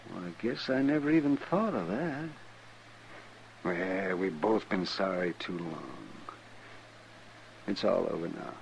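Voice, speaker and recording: A creaky, lowish voice that rises and falls freely an older American town doctor of the Old West. He is halting, kindly and full of regret, in vintage radio style. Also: animated, exaggerated voice. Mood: soothing. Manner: halting, gentle. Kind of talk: 1950s radio drama acting. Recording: noisy old radio broadcast.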